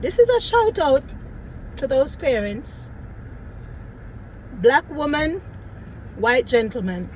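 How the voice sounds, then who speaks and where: Woman, Jamaican accent, middle-aged, emphatic storytelling, slow, traffic noise outside. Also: high-pitched, big pitch swings, darkish tone, poor audio. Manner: emphatic, preachy, frequent tag questions. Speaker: teacher. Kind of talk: storytelling vlog.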